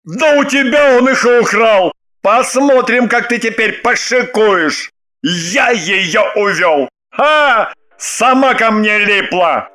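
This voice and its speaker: A middle-aged businessman, furious and blustering, who spits out threats slowly and deliberately, played by a woman reading an audiobook. His voice sits rather high for a man and sounds bright and hard. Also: animated.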